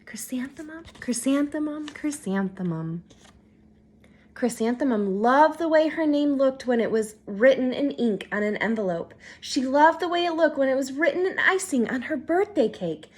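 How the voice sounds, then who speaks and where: Lady teacher doing storytime, high voice, super animated, reads expressively and asks the kids questions. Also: husky. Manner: animated, pauses to ask children questions. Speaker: teacher reading aloud. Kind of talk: expressive children's storybook read-aloud.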